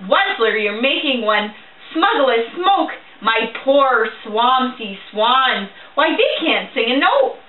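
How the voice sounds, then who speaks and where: A woman voicing a small grumpy male forest creature who scolds in croaky rhyming verse, read from a storybook. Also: a little high, very animated, takes it slowly, few pauses. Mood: scolding. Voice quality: croaky, coughing. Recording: echoey tinny recording from far off.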